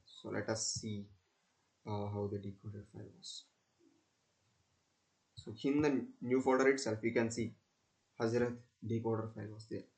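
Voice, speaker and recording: A young man giving an unscripted screen-recording tutorial as a student presenter, speaking haltingly and repeating himself. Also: fairly low pitch, steady tone, a little mellow.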